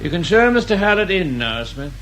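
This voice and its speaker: An actor playing a formal, polite bank manager in a radio drama. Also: male voice, high voice, big pitch swings, fairly quick, background noise. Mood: courteous. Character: courteous, unhurried male bank manager. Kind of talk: British radio drama acting.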